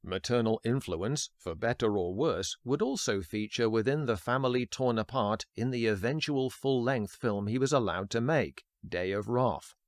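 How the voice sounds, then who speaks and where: A man hosting a podcast, reading a scripted film history narration in a measured, formal way. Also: medium pitch, dry recording, studio quality. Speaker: podcast host.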